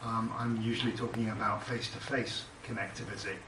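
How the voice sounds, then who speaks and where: A British man on a panel who talks haltingly, with lots of fillers and restarts. His voice is mid-pitched and sits far off in an echoey room, with clear background noise. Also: flat delivery. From Britain. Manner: hesitant, many fillers and restarts. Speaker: panelist, entrepreneur. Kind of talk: panel discussion remarks.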